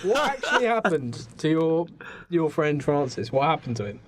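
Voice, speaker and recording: A man's voice: a little high, very animated, normal speed. A British man co-hosting a podcast, bantering casually and teasingly, often cutting in with jokes. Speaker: podcast co-host.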